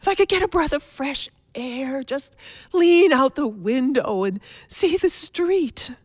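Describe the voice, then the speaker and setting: A woman's voice: high voice, expressive. A woman playing an anxious, demanding bedridden wife in a suspense radio play, fretful, imperious and theatrical in manner. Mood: impatient, agitated. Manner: fretful, imperious, theatrical, halting then insistent.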